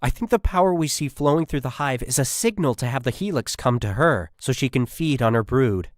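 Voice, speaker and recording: A man voicing an earnest female military scientist in an audiobook, faithful yet excitable. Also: medium pitch, a little fast, dry recording, studio quality, pitched above the performer's own voice, natural voice. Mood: excited awe.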